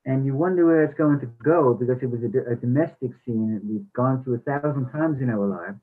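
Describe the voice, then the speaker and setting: A man's voice: mid-range voice, fairly quick, breathy edge. A man giving casual feedback as a participant at a play reading. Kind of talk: casual critique. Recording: tinny phone-like sound.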